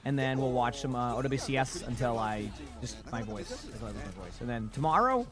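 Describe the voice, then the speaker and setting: Streamer guy rambling to chat, lots of ums, voice getting a lil hoarse, music going in the back. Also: mid-range voice, expressive, a little fast. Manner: rambling, chatty, many fillers and restarts. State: losing his voice a little. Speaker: game streamer. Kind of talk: casual live-stream chat while watching esports.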